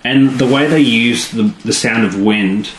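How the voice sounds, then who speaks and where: A man in casual podcast chat, playful and quick to break into mock voices. His voice sits in an ordinary middle pitch. The recording is roomy with faint background hiss. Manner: playful, mimics characters with sudden jumps in pitch. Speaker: podcast co-host. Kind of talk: casual chat reviewing an episode. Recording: echoey room, faint background noise.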